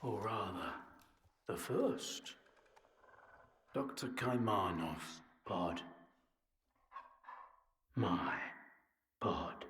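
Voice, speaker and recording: An actor playing an older male scientist in a video game, regretful and bitter, with a deliberate, sardonic, theatrical delivery. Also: fairly low pitch, expressive, fairly bright tone, exaggerated voice. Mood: confessional, brooding. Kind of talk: dramatic video game monologue. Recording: roomy echo with noise behind.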